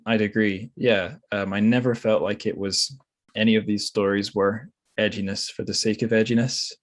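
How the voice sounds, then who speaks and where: Guy with a lowish voice chatting about books on a podcast, musing and kinda tentative. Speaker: podcast host. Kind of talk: casual book discussion.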